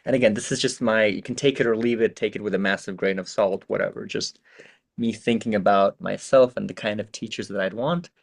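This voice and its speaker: Relaxed rambling man giving advice. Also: lowish voice, fairly quick, vocal fry. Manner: relaxed, rambling, swears casually. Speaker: podcast host. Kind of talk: casual advice chat.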